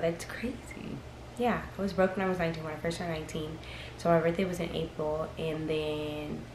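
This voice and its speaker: Early twenties girl vlogging, low kinda flat voice, rambly and real, echoey room w/ some noise. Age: early 20s. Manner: chatty, rambling, frank. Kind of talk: casual chat to camera.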